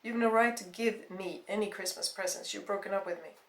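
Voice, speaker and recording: A woman's voice: medium pitch, fairly quick, a bit bright, far from the mic, lightly acted. Woman acting a defiant, wounded young woman, echoing room. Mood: angry, defiant. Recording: roomy, echoing voice recording.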